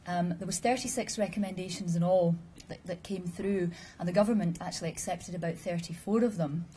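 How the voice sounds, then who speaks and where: A woman giving a measured, explanatory conference presentation and answering questions with dry humor. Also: on the low side, warm tone, slight background noise. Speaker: conference speaker. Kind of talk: formal presentation with audience questions.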